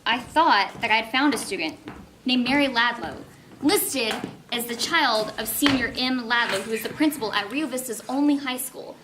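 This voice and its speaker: An earnest, high-voiced young woman searching for her roots, played by a woman on stage. Her lines carry across an echoey room to a distant microphone. There is clear noise over the amateur play. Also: animated, moderate pace, lightly acted. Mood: eager. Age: 20s. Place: stage of a one-act play.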